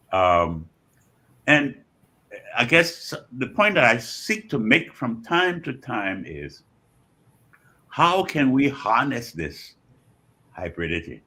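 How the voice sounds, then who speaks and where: An older man in his seventies or beyond with a Liberian accent, speaking reflectively as an interview guest in measured, deliberate phrases with pauses and repetitions. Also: low-pitched, sharp tone, breathy edge. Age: 70s or older. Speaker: guest author and scholar.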